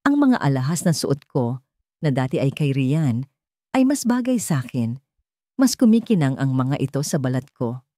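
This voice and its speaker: A woman's voice: extremely low pitch, very animated, moderate pace, dark tone, dry recording, clean studio sound. A woman with a Filipino accent reading a scripted story in the first person as a dramatic audiobook narrator.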